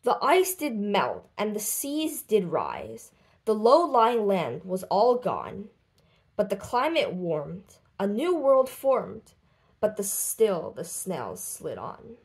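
Slow, animated woman reading a storybook. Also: mid-range voice. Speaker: children's book reader. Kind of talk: expressive storybook read-aloud.

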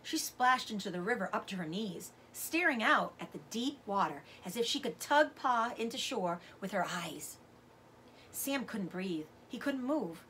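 A middle-aged woman reading a children's novel aloud as a teacher does, with expressive narration. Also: mid-range voice, unhurried, a little hiss or hum, poor audio. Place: indoors, room with bookshelves.

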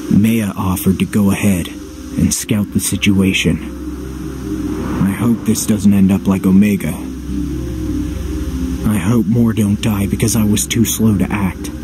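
A man's voice: on the low side, steady tone, unhurried, long pauses, music in the background, natural voice. A man playing a brooding, wary young warrior in a video game, speaking in a terse, dry, distrustful manner. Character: brooding, wary young male warrior narrating his journey. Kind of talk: video game cutscene acting and voice-over.

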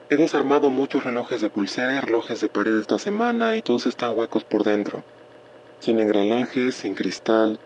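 A man's voice: medium pitch, darkish tone, natural voice. Actor as earnest man on noisy voicemail. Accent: Latin American. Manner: earnest, preoccupied. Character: earnest, uneasy male scientist leaving voicemails. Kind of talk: radio drama voicemail.

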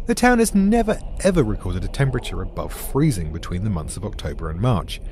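A man's voice: fairly low pitch, sing-song, a little fast, noisy background. A British man giving dry, dramatic scripted podcast narration as the explainer.

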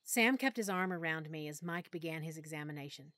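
A woman narrating an audiobook in the first person, quietly and with emotion. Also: sits low, fairly quick, dry recording.

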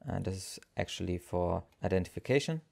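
A man narrating a software tutorial as its presenter, explaining things step by step. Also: deep voice, animated, fairly quick, creaky.

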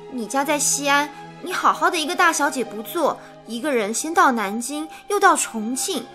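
A woman's voice: sits high, lively intonation, normal speed, sharp tone, faint music behind. An actress playing a chatty, teasing and devoted young woman in radio drama dialogue. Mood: sincere.

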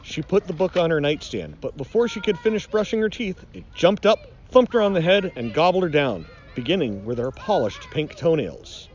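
A man's voice: a little high, lively intonation, normal speed, few pauses, darkish tone, muffled recording. A middle-aged man reading a children's storybook aloud in a dramatic, mock-ominous way. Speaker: nature educator reading aloud.

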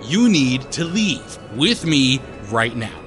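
A man's voice: mid-range voice, sing-song, slow, music in the background. A man playing a cynical, sarcastic, foul-mouthed reluctant hero in a horror audio drama, blunt and exasperated.

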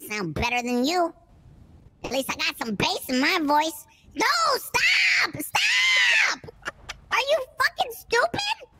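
A woman voices a brash, profane criminal for a game roleplay stream. Her voice sits high and leaps about, with a bright, sharp edge, and she bickers hard and breaks into shrieks when riled. It sounds like a close headset mic. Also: very animated, slow. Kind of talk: in-character roleplay banter.

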